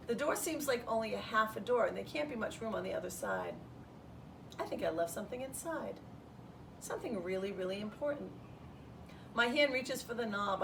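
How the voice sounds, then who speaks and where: A woman reading a children's storybook aloud in the first person as a schoolteacher would, with an expressive delivery. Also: medium pitch, vocal fry. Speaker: third grade teacher reading aloud. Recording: distant mic, roomy background noise.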